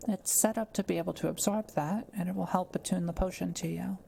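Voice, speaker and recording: Mellow, low-pitched kind witch, acted by a woman. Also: fairly even intonation, fairly quick, vocal fry, slight background noise. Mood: focused, explanatory. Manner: gentle, patient, explanatory. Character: kind, quiet-living forest witch brewing potions. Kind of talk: soft-spoken audio roleplay addressed to the listener.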